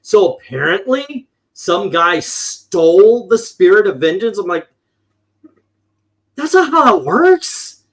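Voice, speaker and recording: A man's voice: high-pitched, fairly bright tone. A man reviewing comic books in casual, opinionated panel chat, rambling and swearing, acting out his exasperated reactions.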